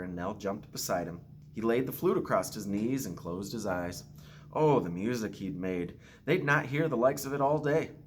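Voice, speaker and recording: A man with a fairly low voice reads a children's picture book aloud in an expressive storyteller's way. He speaks simply, as a principal would to pupils. There is some noise in the home-video recording. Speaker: school principal reading to pupils.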